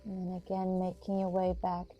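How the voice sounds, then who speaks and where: A woman giving guided yoga and meditation instruction in a soothing, measured way, with pauses between phrases. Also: fairly low pitch, completely flat delivery, muffled recording. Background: music.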